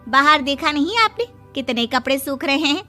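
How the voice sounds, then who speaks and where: A woman putting on the sly, bragging mother of a cartoon bride. The voice is high, but lower and softer than the performer's usual tone. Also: animated, normal speed, soft background music. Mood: boastful. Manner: sly, boastful. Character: boastful middle-aged mother of the bride.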